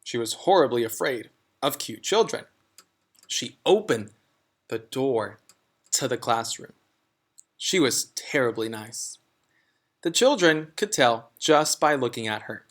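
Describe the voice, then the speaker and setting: A man reading a children's story aloud in a scripted narration style. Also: mid-range voice. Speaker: storybook reader.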